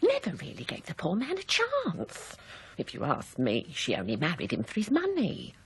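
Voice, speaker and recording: An actress playing a spiteful, disapproving gossip at a funeral in a radio drama, sniping and insinuating. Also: female voice, on the low side, wildly swooping pitch, moderate pace, husky, heavily acted.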